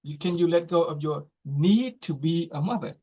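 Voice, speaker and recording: A group facilitator speaking slowly and deliberately, posing reflective questions with pauses between them. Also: mid-range voice, warm tone. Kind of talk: guided reflective talk. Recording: dull lo-fi recording.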